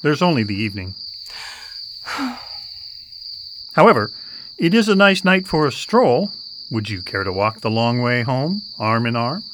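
A man playing an earnest, formally polite Victorian gentleman in a radio drama. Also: medium pitch, sing-song, unhurried, long pauses. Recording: noisy night ambience with crickets.

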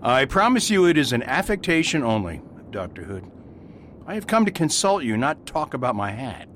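A man plays a gentle, old-fashioned priest in radio drama. The pitch is average but swings widely, the phrasing is formal and courteous, and there is slight background noise. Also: very animated, moderate pace. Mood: earnest, polite. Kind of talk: amateur radio play acting. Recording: faint background rumble.

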